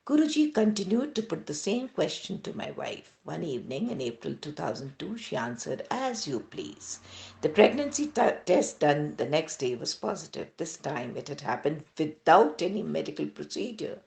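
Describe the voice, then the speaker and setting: A woman with an Indian accent reading a devotional testimony aloud as scripted narration, in an expressive storytelling manner. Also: low-pitched, normal speed, creaky.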